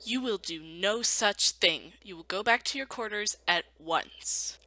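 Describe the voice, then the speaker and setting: Woman doing composed bossy royal for a cartoon, formal, bright voice, dry cheap mic. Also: mid-range voice, expressive, no room sound. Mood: stern. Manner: formal, measured. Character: composed, commanding royal spouse in an animated series. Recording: poor cheap home microphone.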